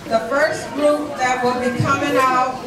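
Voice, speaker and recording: A high-voiced woman hosts a community celebration, bantering with the audience and leading them in chant. She sounds distant in a very echoey hall, with a loud crowd all around. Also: fairly even intonation, measured pace. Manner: leads chants, warm teasing banter. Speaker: event host. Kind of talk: call-and-response chant and hosting. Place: community event in a library hall.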